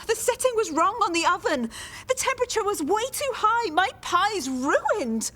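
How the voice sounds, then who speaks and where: An actress playing a distraught, dramatic amateur baker in a radio soap. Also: female voice, very high-pitched, big pitch swings, normal speed, sharp tone, full character voice. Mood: distraught, panicked.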